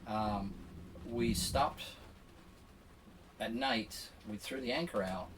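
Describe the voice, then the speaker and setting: A man chatting casually and telling a story as an interview guest, with a hesitant, halting delivery. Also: fairly low pitch. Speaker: podcast guest, sailor. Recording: distant mic with faint background noise.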